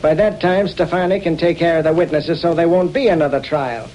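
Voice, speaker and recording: High-voiced menacing crooked lawyer played by an actor. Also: male voice, steady tone, fairly quick, a little mellow, a little hiss or hum, lightly acted. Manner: commanding, threatening. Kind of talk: 1930s-40s radio drama acting. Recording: muffled old radio broadcast.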